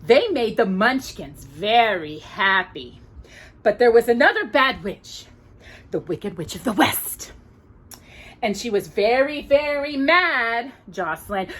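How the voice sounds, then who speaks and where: A woman telling a children's story in an expressive, dramatic manner, drawing out key words. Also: on the high side. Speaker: storyteller, mother reading to her daughter. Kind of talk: children's storytelling with bits of song.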